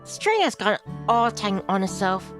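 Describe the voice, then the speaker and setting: Female playthrough streamer, average pitch; story narration plus offhand asides, background music. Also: very animated, normal speed. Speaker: streamer reading a visual novel aloud. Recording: music behind the voice.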